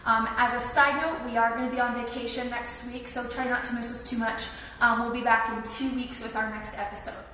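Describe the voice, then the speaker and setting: A young woman with a slightly raspy voice from a cold, giving a friendly, chatty live tour of an apartment building. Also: fairly high pitch, little pitch movement, fairly quick, sharp tone, slight background noise. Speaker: leasing tour host. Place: echoey empty indoor rooms. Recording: echoey room from far off.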